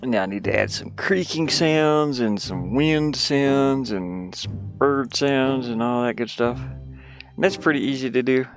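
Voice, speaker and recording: A man's voice: medium pitch, measured pace, soft background music. A man casually thinking aloud as he works on a game, muttering to himself in short fragments. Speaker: hobby game developer sharing a work session. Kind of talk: casual think-aloud screen commentary while working.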